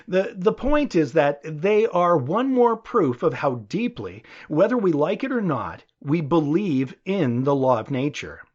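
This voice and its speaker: Expressive higher-voiced man reading an audiobook. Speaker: audiobook narrator. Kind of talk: audiobook reading of a conversational lecture. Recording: dull, muffled lo-fi audio.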